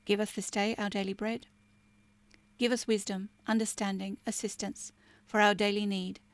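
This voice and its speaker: A woman's voice: medium pitch, level tone, normal speed, dry recording. Chatty woman teaching spirituality, dry sound. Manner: chatty, lots of fillers and restarts; soft, hushed reading of prayer lines. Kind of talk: informal spiritual talk.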